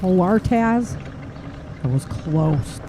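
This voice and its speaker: A woman's voice: fairly low pitch, lively intonation, slow pace. A woman playing a wary lone female survivor on horseback in a post-apocalyptic audio drama. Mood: relieved, wary. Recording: clearly noisy, outdoor horse ambience.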